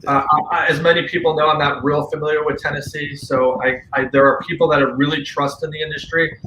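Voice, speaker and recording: Bright, forceful man in a roomy space. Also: fairly high pitch, little pitch movement, fast talker. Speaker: guest on a paranormal radio show. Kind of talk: casual conversational talk. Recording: roomy echo, faint background noise.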